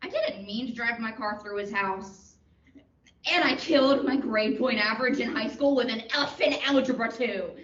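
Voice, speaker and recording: A roomy, distant recording of a crazed self-confessed killer played by a young woman. The voice is rather high and wildly melodramatic, full of boastful menace in comic radio-play style. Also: normal speed, fairly bright tone, close to an everyday voice. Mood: manic, boastful. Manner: melodramatic, theatrical. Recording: dull, roomy, distant microphone.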